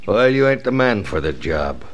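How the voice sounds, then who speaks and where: A menacing cattle boss from a vintage radio western. He has a fairly deep voice and a blunt, folksy drawl that bullies whoever he addresses. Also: sing-song, normal speed. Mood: gruff, suspicious. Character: domineering, menacing rancher and town boss. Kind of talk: 1960s western radio drama acting.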